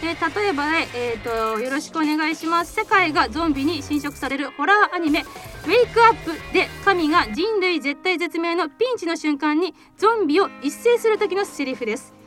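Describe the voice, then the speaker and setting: A woman's voice: very high voice, animated, brisk. A woman hosting a radio show, bantering and explaining a game to a group. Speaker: host of a radio talk and voice drama show. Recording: loud music under the voice. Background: loud music.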